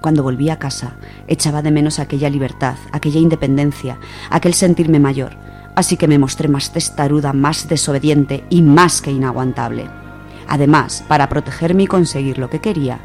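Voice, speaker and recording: A woman's voice: low voice, fairly quick, a little mellow, faint music behind. A woman with an accent from Spain, presenting and reading on a literary radio segment. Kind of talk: radio talk and reading of a first-person short story.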